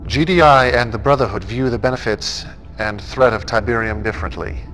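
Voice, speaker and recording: An actor playing a cold, messianic cult warlord in a video game, giving military briefings in a measured, menacing way. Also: male voice, mid-range voice, a bit bright, faint music behind, lightly acted, low-quality recording. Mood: messianic, disdainful. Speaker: actor in a strategy game cutscene. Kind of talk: dramatic military briefing to camera.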